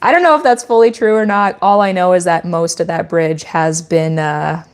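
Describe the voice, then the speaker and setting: A Canadian woman with a slightly low voice chats casually with her livestream viewers. She explains things like a teacher and often restarts her sentences as she rambles along. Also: moderate pace, clean tone. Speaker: goat farmer and channel host.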